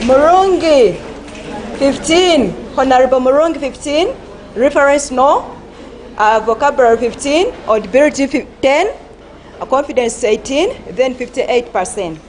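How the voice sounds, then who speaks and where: A woman teacher reading out debate scores and advising pupils, speaking slowly with many fillers and repeated words. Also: sits high, lively intonation, darkish tone, a little hiss or hum, low-quality recording. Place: school assembly.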